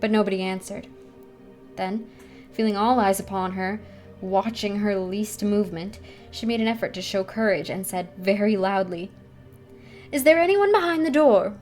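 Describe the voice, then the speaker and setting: A woman's voice: mid-range voice, fairly even intonation, moderate pace, darkish tone, faint music behind. A woman reading a classic novel aloud as scripted bedtime story narration. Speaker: storybook reader.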